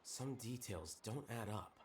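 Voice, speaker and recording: A man's voice: on the low side, expressive, measured pace, echoey room, distant mic, a little hiss or hum. An actor playing a cool, analytical young male scholar in a video game, composed and blunt. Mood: detached. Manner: composed, matter-of-fact. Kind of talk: video game dialogue acting.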